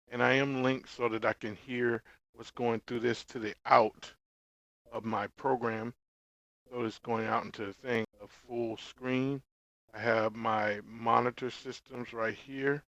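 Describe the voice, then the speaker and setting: A man giving a casual product review, explaining informally in short, halting phrases. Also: medium pitch, a bit bright, no echo, dull audio. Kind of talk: casual gear review vlog.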